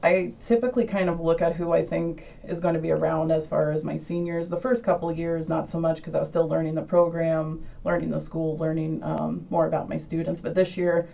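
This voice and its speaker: A woman who directs the school musical, explaining her planning in a measured way with some ums, recorded away from the mic. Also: sits low, flat delivery, gravelly, warm tone, recorded from a distance. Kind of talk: thoughtful interview answer.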